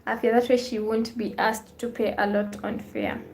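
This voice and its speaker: A chatty, upbeat woman hosts a live stream, reading viewer comments aloud and thanking them again and again. Her voice sits a little higher than most women's. The room around her gives back a clear echo. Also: little pitch movement, moderate pace, darkish tone. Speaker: vlogger hosting a live stream.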